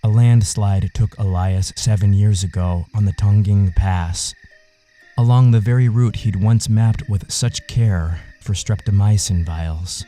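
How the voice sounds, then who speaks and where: Slow, dark-voiced male story narrator. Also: lowish voice, steady tone, faint music behind. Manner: measured, solemn storytelling. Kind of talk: scripted literary audio story narration.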